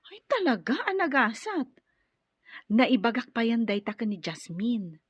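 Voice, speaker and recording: Woman acting as gossipy coworker, highish husky voice, ilocano accent, radio drama. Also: big pitch swings, a little slow, no room sound, heavily acted. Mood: gossipy, amused. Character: gossipy, amused female office coworker.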